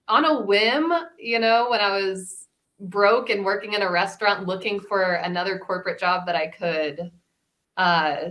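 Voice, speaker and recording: A woman voice actor telling personal stories in a casual, animated, chatty way. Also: medium pitch. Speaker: voice actor, panel guest. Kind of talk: casual chat, personal storytelling.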